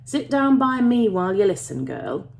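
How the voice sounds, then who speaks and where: A kind young working man from a storybook as a woman narrator puts him on, protective and earnest. He speaks Yorkshire-accented dialect in a coaxing way, with pitch that rises and falls freely. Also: very animated, normal speed, vocal fry, darkish tone, a little hiss or hum. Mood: kind, reassuring. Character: kind young male tin miner, protective, reassuring.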